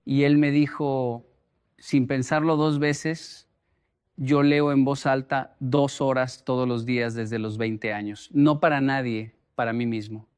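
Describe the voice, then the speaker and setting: A man speaking as a motivational speaker, deliberate and emphatic, repeating phrases for effect as he explains and tells stories. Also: mid-range voice, fairly even intonation, a little mellow, clear, resonant tone. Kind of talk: motivational talk with storytelling.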